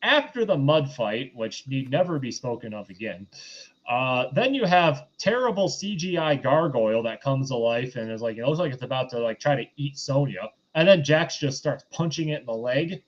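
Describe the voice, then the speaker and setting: A man hosting a film review show in casual chat, talking in a halting, loosely conversational way and often backtracking. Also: medium pitch, lively intonation, highs cut off.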